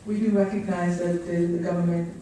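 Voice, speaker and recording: Dark-voiced woman moderating in an echoey room. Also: lowish voice, level tone, fairly quick. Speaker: moderator of a briefing. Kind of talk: moderating a formal question session. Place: meeting room without microphones for speakers. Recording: echoey room, distant microphone, faint noise.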